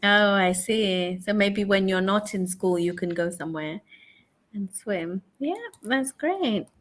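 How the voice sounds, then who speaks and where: A woman's voice: mid-range voice, slow, fairly bright tone. A woman, patiently chatting with a young learner in an online conversation lesson and repeating the answers back. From England. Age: 40s. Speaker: online English tutor.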